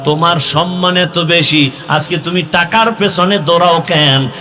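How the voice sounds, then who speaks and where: Male Islamic preacher, Bangladeshi accent, sharp and forceful, impassioned chanting delivery, long echoing reverb. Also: a little high. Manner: impassioned, chanting, drawn-out exhortations. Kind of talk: waz sermon to young people. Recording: long hall reverb with music behind.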